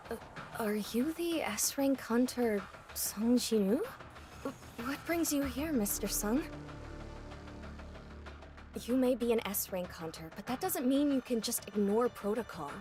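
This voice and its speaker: A woman's voice: fairly high pitch, expressive, measured pace, a little mellow. A woman playing an officious, by-the-book young gate guard in a video game, formal and earnest. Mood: startled. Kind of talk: English game dub acting. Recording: music behind the voice.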